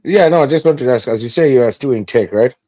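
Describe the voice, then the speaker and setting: A man co-hosting a podcast, asking questions in a halting way with repeated words. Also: mid-range voice, animated, mellow, no room sound. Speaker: podcast co-host. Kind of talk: casual interview questions.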